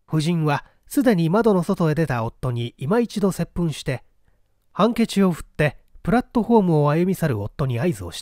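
A man's voice: fairly high pitch, a little mellow, no room sound, clean studio sound. A man reading an old mystery novel aloud as dramatic, theatrical narration. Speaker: audiobook reader.

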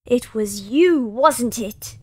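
A woman's voice: fairly high pitch, expressive, takes it slowly, mellow, slightly rough, no room sound, clean studio sound, full character voice. A woman voicing a fussy, motherly enchanted household object, flustered and proper, in an audio drama.

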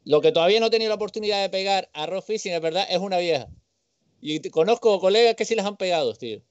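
Male co-host, slangy and relaxed chat; smooth voice, dry lo-fi line. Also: high-pitched, fast talker, no echo. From Canary Islands. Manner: friendly, slangy, says "tío" and "hermano". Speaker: fishing livestream co-host. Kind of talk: casual chat on a video call. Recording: dry, dull call audio.

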